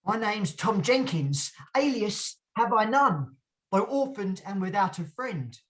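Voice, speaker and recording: A woman's voice: medium pitch, big pitch swings, a little slow, a bit bright. A woman playing a plain-spoken orphan youth in a mock tragedy, speaking with simple earnestness. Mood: earnest, plain. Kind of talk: mock-heroic stage tragedy reading.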